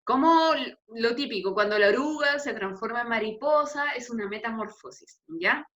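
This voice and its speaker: A woman's voice: on the high side, normal speed, a bit bright. A woman in her thirties with a Chilean Spanish accent, a pastor speaking casually and chattily as she leads an informal Bible talk on a video call. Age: 30s. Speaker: pastor leading a youth meeting. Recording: dull, lo-fi video call audio.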